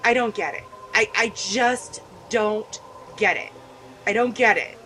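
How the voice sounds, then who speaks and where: A woman's voice: mid-range voice, a little slow, sharp tone, soft background music, poor audio. A woman hosting a gaming video, reading a visual novel aloud and commenting with emotional, indignant feeling.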